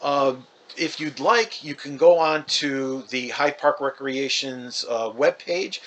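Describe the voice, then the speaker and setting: A man reading a children's book aloud in a conversational, expressive way, with emphatic swings in his delivery. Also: medium pitch, slow pace. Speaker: reader of children's books. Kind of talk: expressive storybook read-aloud.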